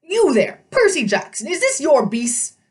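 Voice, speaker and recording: Storybook satyr, elderly and male, played by a woman: raised pitch, pompous, indignant, prone to sputtering. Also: big pitch swings, a little slow, far from the mic. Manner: huffy, blustering, stammering when flustered.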